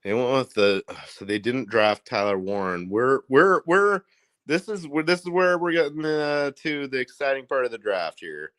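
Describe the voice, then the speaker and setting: A man with an Indiana accent hosting a casual livestream, talking in a rambling, profane, excitable way. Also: mid-range voice, moderate pace, dry recording. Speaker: livestream host.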